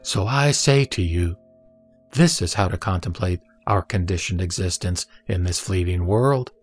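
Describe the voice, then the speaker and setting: A man playing the Buddha as a serene teacher, reciting scripture in a measured, solemn audio drama style. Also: deep voice. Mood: solemn, emphatic. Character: the Buddha, serene male teacher addressing his disciple. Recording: music behind the voice.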